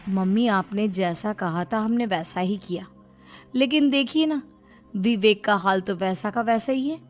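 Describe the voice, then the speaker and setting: A woman's voice: medium pitch, unhurried, faint music behind, lightly acted. A woman voicing a complaining young married daughter in a moral-story cartoon, plaintive and grumbling. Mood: exasperated complaint.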